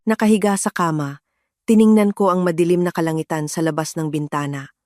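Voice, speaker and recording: A woman's voice: on the low side, fairly even intonation, brisk, a little mellow, dry recording, studio quality. A woman reading a scripted, dramatic first-person story as its narrator.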